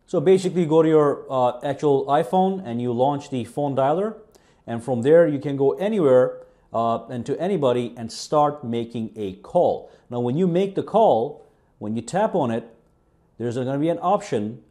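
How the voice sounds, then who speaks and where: A man presents a casual how-to as a tech video host. His voice sits at a middle pitch and has a dark, mellow tone. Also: expressive, normal speed. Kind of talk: casual narrated how-to demonstration.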